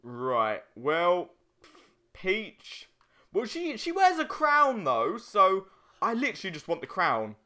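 Bright-voiced young man joking around. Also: high-pitched, huge pitch swings, slow. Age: young adult. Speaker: let's play co-host. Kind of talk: casual gaming banter.

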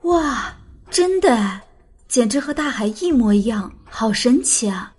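A woman acting a spirited, impulsive young heroine in an expressive, girlish manner for a Chinese audiobook drama. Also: fairly high pitch, measured pace, husky. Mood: amazed.

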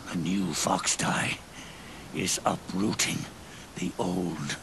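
An actor playing a weary, regretful old soldier near death in a video game cutscene, with a gravelly, breathy, halting and pained delivery. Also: male voice, deep voice, fairly even intonation, noisy background, full character voice. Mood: labored, explaining. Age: older. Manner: halting, solemn, labored delivery. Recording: orchestral music behind the voice.

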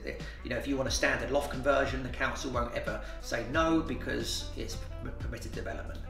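A man, answering interview questions plainly, with fillers and restarts. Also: medium pitch. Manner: plain-spoken, matter-of-fact, with repeated fillers and restarts. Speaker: renovation company boss. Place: office, indoors. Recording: roomy office sound from far off.

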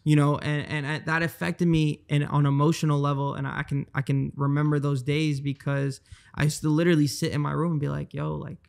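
Young male guest, gravelly and a touch high, earnest and casual, handheld mic. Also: flat delivery, fairly quick, darkish tone. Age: 20s. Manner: earnest, repeats words while thinking. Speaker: guest, trader. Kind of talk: casual podcast interview. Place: bright high-rise living room.

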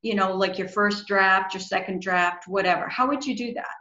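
A woman's voice: mid-range voice, normal speed, sharp tone, echoey room, highs cut off. A middle-aged woman asking casual interview questions over a video call. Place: small room at home, video call.

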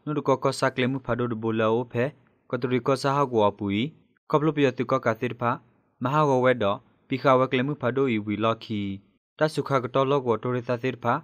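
A man reads scripted radio news in a medium-pitched voice. The recording is dry, with no room sound around him. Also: fairly even intonation, brisk, a bit bright, no echo, highs cut off. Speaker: news presenter.

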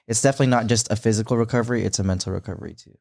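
A man's voice: fairly low pitch, fairly even intonation, racing, gravelly, darkish tone. A man chatting as a podcast guest, easygoing, with lots of "like" and "you know". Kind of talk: casual chat.

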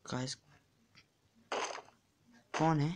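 A man's voice: very slow, background noise. A man giving casual commentary while playing a block-building game, chatty and counting blocks aloud as he builds. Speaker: gamer.

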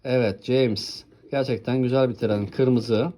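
A woman's voice: rumbling low, fairly even intonation, unhurried, mellow. A woman hosting a casual toy showcase with a child, chatting in an encouraging way and often asking questions. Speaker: host presenting toy trains with a child.

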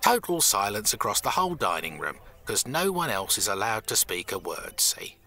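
Bossy crook boss in a radio comedy, bright punchy voice, really animated, wry n chatty. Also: male voice, on the low side, normal speed, heavily acted. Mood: wry storytelling. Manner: chatty, colloquial, wry, theatrical in storytelling. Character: gruff bossy male crook boss, fond of jokes. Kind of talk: audio comedy drama acting.

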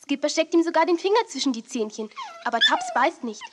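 A woman's voice: high-pitched, very animated, a little fast, noisy background. An actress playing a sweet, sensible young woman in a 1980s children's audio play, polite and reassuring.

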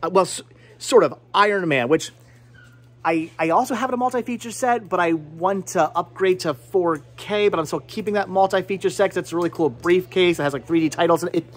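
A man's voice: sits high, fairly quick. A man in his forties chatting casually and playfully to a handheld camera in a shop, often interrupting himself as he rambles. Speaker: vlogger and movie collector. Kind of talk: casual chatty shopping vlog. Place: indoor video and game shop. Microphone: handheld camera at arm's length.

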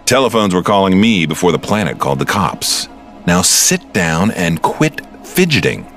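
Criminal mastermind, very deep, swooping intonation, smug, taunting, theatrical, audio drama. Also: male voice, sing-song, a bit bright, soft background music, exaggerated voice. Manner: suave, condescending, theatrical, drawn-out words.

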